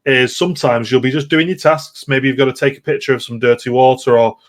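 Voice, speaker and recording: A man co-hosting a podcast, telling anecdotes about games in a casual, slightly halting way. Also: mid-range voice, fairly even intonation. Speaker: podcast co-host.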